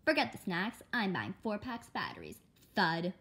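A woman's voice: mid-range voice, normal speed. A woman reading a comic story aloud, acting out the dialogue and speaking the sound words. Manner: animated, acts out characters' dialogue.

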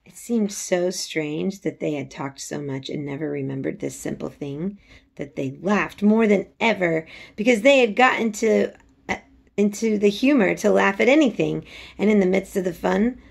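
A woman in her forties with a slightly husky voice, reading a story aloud in an expressive, informal way, like a teacher. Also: mid-range voice, moderate pace. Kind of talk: read-aloud storytelling with casual asides. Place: small home room indoors. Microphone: phone at arm's length.

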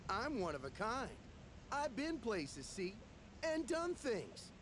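Brash bragging young guard. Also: male voice, very high-pitched, very animated, takes it slowly, crowd noise, full character voice. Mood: cocky. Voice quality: boastful, swaggering, foul-mouthed. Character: cocky young male braggart caravan guard. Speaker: video game character.

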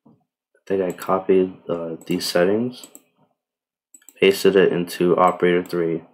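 Low, monotone man giving a tutorial. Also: slow, poor audio. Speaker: tutorial presenter. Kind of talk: casual software tutorial. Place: indoors, voice-over on screen recording.

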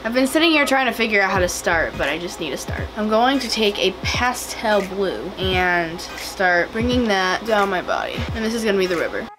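A young woman in her twenties, a little hoarse and raspy from a cold, chatting casually and rambling through a makeup tutorial in a small home studio. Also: medium pitch, very animated, moderate pace, hardly any pauses, over music. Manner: chatty, rambling, giggly asides. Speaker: makeup vlogger.

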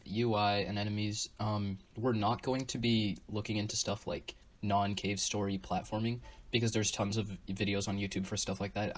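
A man hosting a casual screen-recorded coding tutorial at his computer desk, relaxed and full of ums and restarts. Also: lowish voice. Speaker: tutorial host.

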